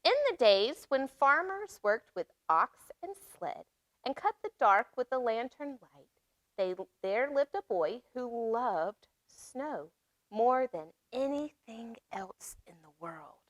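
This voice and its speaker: A woman reading aloud at storytime for young children, expressive, pausing to explain and ask questions. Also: on the high side, dry recording. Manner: expressive, asks listeners questions and explains words. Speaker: children's library storytime reader.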